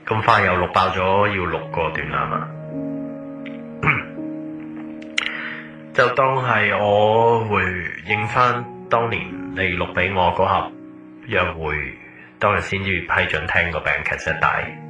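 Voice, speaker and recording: A man's voice: low voice, slow, pauses often, muffled recording. A man with a Hong Kong Cantonese accent playing a wistful former lover who leaves a recorded voice message, casual and nostalgic, guiding the listener along like a tour guide. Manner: casual, nostalgic, tour-guide patter mixed with English words. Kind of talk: audio drama monologue as voice message. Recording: roomy, slightly distant, music behind.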